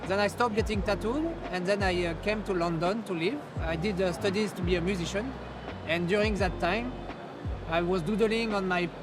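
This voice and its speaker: A man's voice: high voice, normal speed, over music. A man with a French accent, a tattoo artist, speaking hesitantly with many fillers in a convention interview. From France. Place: busy convention hall.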